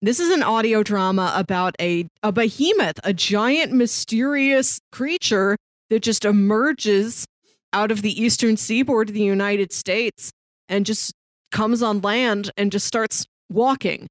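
Female podcast co-host, mid-range pitch, casual recommendation chat, dry close recording. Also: measured pace, dry recording.

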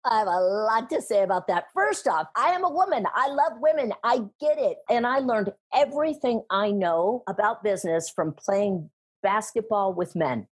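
A middle-aged woman talking about sales and speaking in an interview, animated and emphatic, laughing often. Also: mid-range voice, moderate pace, husky. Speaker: guest, sales trainer and speaker. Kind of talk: conversational interview.

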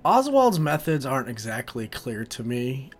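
Young man, mid-pitched, over soft music. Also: big pitch swings, moderate pace, husky. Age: young adult. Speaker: player describing his character. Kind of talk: casual interview answers, partly in character. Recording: music behind the voice. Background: background music.

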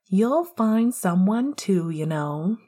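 A woman's voice: medium pitch, lively intonation, slow pace, dark tone. A woman voicing an exuberant young man in a storybook reading, teasing his best friend with playful, theatrical gushing. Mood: dreamy, teasing.

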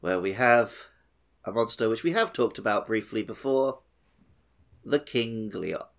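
A man's voice: fairly low pitch, a little slow, gravelly, a bit bright. A man co-hosting a gaming podcast in casual conversation. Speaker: podcast co-host.

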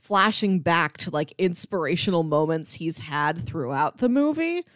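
A woman's voice: on the low side, animated, moderate pace, no echo, studio quality. A woman co-hosting a casual film discussion, speaking in an enthusiastic, emphatic way full of hyperbole. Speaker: podcast co-host.

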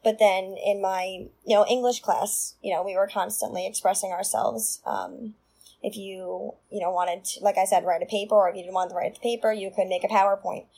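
Woman, vocal fry, mid pitch, casual chatty teacher. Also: steady tone, a little fast, mellow, poor audio. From Long Island, New York. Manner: chatty, many ums and likes. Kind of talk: casual discussion.

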